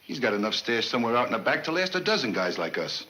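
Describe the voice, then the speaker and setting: A man's voice: mid-range voice, sing-song, quick pace, pushed and bright. An actor playing a grumbling, mercenary gangster henchman with slangy tough-guy talk in a 1940s film serial. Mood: sour grumbling.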